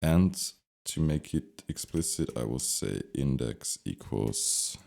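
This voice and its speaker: A man giving an unscripted software tutorial, thinking aloud step by step as he instructs. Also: extremely low pitch, animated, slow, gravelly.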